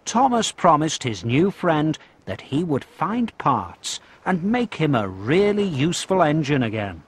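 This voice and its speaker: Animated, unhurried male storyteller. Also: a little high, a little hiss or hum. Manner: deliberate, expressive storytelling. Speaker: narrator. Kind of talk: scripted children's storybook game narration. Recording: music playing behind the voice. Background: background music.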